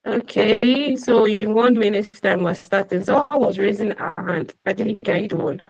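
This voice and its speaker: A woman's voice: medium pitch, normal speed, darkish tone, dry recording. Chatty woman hosting a video call class. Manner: chatty, welcoming, addresses attendees by name. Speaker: online class host. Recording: muffled dry video call audio.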